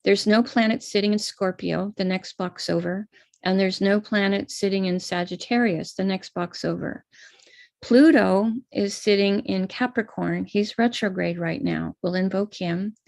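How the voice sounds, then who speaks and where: A woman's voice: medium pitch, steady tone, measured pace, vocal fry, darkish tone, no echo. An American woman, an astrologer, patiently talking viewers through a chart step by step before leading a live-streamed ritual.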